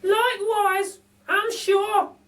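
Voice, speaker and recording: A man voicing a chatty, excitable working-class lady burglar with exclaiming, colloquial speech in a children's storybook. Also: extremely high pitch, drawn-out words, voice pushed up, heavily acted. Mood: curious, chatty. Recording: distant microphone.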